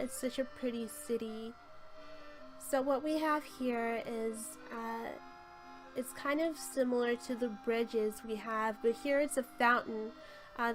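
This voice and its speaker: Female host, hoarse from a cold, casual game-tour voice-over. Higher-pitched and monotone, with clear music underneath. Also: poor audio. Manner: gushing, chatty, with frequent ums. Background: light background music.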